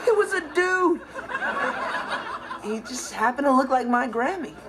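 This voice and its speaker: A man's voice: very high voice, lively intonation, unhurried, background noise, exaggerated voice. An actor playing an earnest, fussy, well-meaning nerdy teenage boy explaining things in a sitcom monologue. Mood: animated, sheepish.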